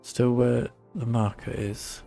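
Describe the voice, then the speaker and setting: A man's voice: mid-range voice, flat delivery, takes it slowly, gravelly, dark tone, faint music behind. A man giving casual playthrough commentary as a game streamer, muttering and grumbling at the game.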